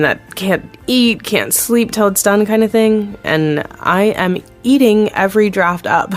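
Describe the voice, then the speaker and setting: An actress in her thirties playing a candid, chatty TV executive producer who laughs easily and talks down her own success. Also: medium pitch. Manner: candid, chatty, laughs often, self-deprecating. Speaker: TV executive producer, interview guest. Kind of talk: scripted audio drama, acted interview.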